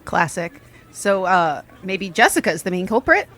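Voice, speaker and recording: An actress plays a level-headed young woman who knows her myths. Her voice is medium in pitch, and her delivery is dry and matter-of-fact, in casual audio drama dialogue. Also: very animated, a little slow, fairly bright tone. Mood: wry.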